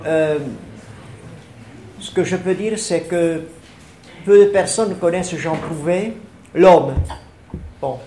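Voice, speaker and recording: An older man from southern France giving a hesitant, measured talk with slides, pausing often to find his words. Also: a little high, a little mellow, echoey room, a little hiss or hum. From Ariège, southern France. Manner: hesitant, measured, many fillers. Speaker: former collaborator of an architect, speaker.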